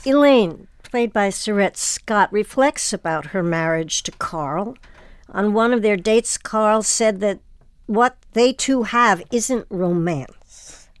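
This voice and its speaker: A woman hosting a panel interview, putting prepared questions with measured, deliberate phrasing. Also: medium pitch, clean tone, no room sound. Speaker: host moderating an actors' panel.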